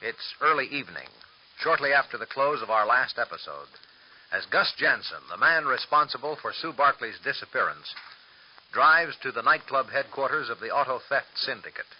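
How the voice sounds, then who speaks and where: A man's voice: medium pitch, animated, moderate pace. A man with an American accent reading scripted narration as the announcer of an old radio serial. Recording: dull old radio recording.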